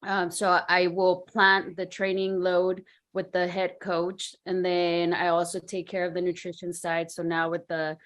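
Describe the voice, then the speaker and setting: A woman raised in Mexico talks about her career on a video-call panel. Her voice sits low for a woman, and she speaks casually with frequent fillers. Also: monotone, unhurried. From Mexico, Ciudad Juarez. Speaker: sports scientist, panel guest.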